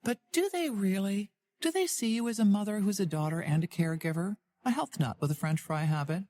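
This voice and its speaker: A woman's voice: mid-range voice, animated, a little fast, warm tone. A woman reading scripted narration as the voice-over of an advertisement.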